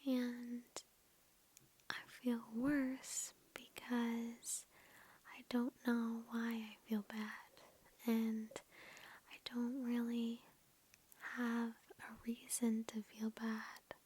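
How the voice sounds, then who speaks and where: A woman whispering a guided ASMR meditation in a breathy, gentle and reassuring way, close to the microphone. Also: on the high side, completely flat delivery, very slow. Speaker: ASMR host. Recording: lo-fi with background noise and clicks.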